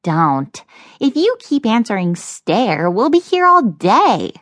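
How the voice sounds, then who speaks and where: A boastful little male elf from a children's storybook, acted out by a woman, pitched high and full of himself. His delivery swings wildly up and down as he exclaims, drawing his words out slowly. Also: very animated, a bit bright, dry recording, studio quality, full character voice. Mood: curt, proud. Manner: talks of himself in third person, exclaiming. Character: boastful, excitable male elf, small and big-eared.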